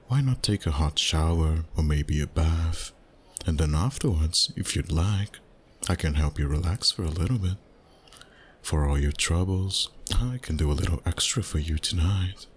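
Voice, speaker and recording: A very deep-voiced, suave vampire boss played by a man murmurs in an intimate ASMR roleplay. He is dominant and flirtatious, teasing with unhurried, courtly phrases. A faint layer of noise sits behind the voice. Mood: seductive.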